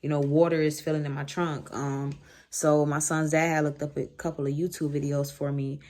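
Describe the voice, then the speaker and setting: Low-pitched American woman, casual storytime. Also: normal speed. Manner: animated asides, slangy, conversational. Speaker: vlogger telling a story. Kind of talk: casual storytime chat to camera.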